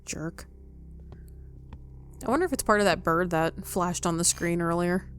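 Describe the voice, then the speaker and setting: Lower-pitched woman reacting to a game. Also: measured pace, a little hiss or hum. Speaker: game player. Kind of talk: casual let's play commentary, reacting aloud.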